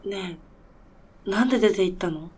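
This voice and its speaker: A woman playing a bewildered but determined snowmobile mechanic in a radio drama, talking casually and haltingly with disbelief. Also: mid-range voice, a little mellow, breathy edge, close to an everyday voice.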